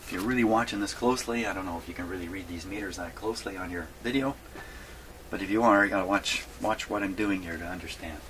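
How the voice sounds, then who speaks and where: A man's voice: deep voice, fast, a bit bright. A man giving a casual hands-on workshop demonstration, thinking aloud in a rambling way and often questioning himself. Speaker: presenter of radio repair videos. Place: small home workshop. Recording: clearly noisy small workshop recording.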